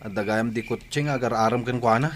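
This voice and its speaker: An actor playing a shy, polite young farmer in love, with an Ilocano accent, in a radio comedy drama. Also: male voice, medium pitch, steady tone, fairly quick, a little hiss or hum, close to an everyday voice. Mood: nervous, embarrassed.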